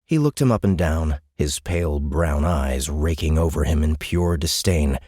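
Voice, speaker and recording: A man with a very low voice reads dramatic fiction as an audiobook narrator. The recording is dry and close. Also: expressive, unhurried, dark tone, husky, no room sound.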